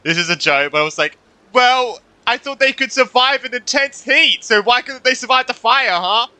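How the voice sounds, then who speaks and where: A man's voice: extremely high pitch, lively intonation, normal speed, bright, forceful tone, smooth, no room sound. A man doing casual gameplay reaction commentary as a streamer, blunt and joking, with swearing.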